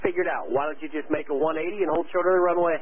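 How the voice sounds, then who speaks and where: A man working as a tower air traffic controller, giving brisk, clipped, directive instructions over aviation radio. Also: a little high, fairly even intonation. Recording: tinny, narrowband aviation radio with static.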